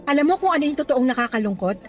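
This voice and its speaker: A woman's voice: high-pitched, lively intonation, racing. An actress playing a firm, exasperated young female teacher in a radio drama, lecturing sternly in Tagalog mixed with English. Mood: disappointed, reproachful.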